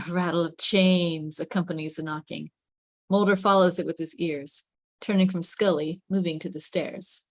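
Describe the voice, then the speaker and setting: A woman narrates a screenplay at a table read in a slightly low voice. The sound is dry and close, and she phrases the directions with suspense and emphasis. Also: fairly even intonation, moderate pace, darkish tone, no echo. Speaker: narrator reading stage directions.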